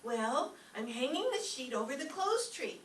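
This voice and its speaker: A woman's voice: high-pitched, sing-song, normal speed, fairly bright tone. A woman playing a naive, drowsy, dim-witted young secretary in an old-time radio comedy. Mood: naive, earnest. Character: ditzy, sleepy young female secretary, naive and earnest. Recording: roomy, distant stage recording with faint noise.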